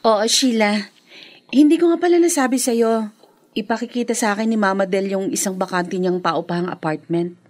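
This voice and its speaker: A woman playing a scheming, sweet-talking young mistress in a Filipino radio drama, with a coaxing, expressive delivery. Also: mid-range voice, moderate pace, natural voice. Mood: breezy, confident.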